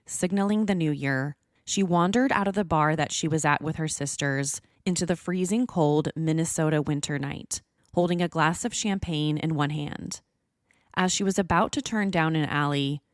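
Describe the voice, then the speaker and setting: An American woman hosting a true crime podcast, narrating from a script in a measured, serious storytelling manner. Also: deep voice, no echo, studio quality.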